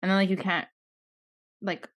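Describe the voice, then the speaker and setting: A woman's voice: mid-range voice, flat delivery, moderate pace, highs cut off. A woman in her twenties, co-hosting a podcast in casual chat and filling her sentences with "like.". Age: 20s. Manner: chatty, fillers with "like" throughout. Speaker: podcast co-host.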